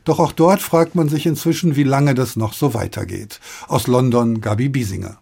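A man's voice: medium pitch, moderate pace, creaky, warm tone, breathy edge. A man hosting a radio programme, presenting from prepared text. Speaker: radio host.

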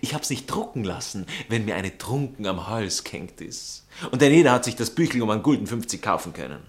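An Austrian baron, a man of narrow views and snobbish temper. His voice swings widely in pitch as he drawls with colloquial condescension, in the manner of satirical stage comedy. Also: lively intonation, brisk. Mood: indignant. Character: snobbish, narrow-minded aristocratic baron, amused fiancé.

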